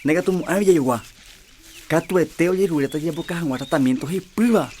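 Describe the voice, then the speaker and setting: A man's voice: a little high, a little fast, darkish tone, slight background noise, lightly acted. Man as skeptical Paraguayan footballer, chatty radio-drama style, faint outdoor sound. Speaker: Roberto, a football team player. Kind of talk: educational radio drama acting.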